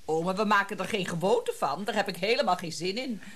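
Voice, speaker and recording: An actress playing a jealous, mocking wife in a radio play, cutting and scornful. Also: female voice, mid-range voice, animated, fairly quick, fairly bright tone, a little hiss or hum, close to an everyday voice.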